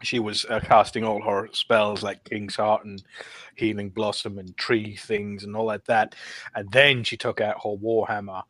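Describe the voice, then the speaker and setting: A man chatting casually on a podcast panel, recounting gameplay in a rambling, halting way. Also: lowish voice, flat delivery, a bit bright, no echo.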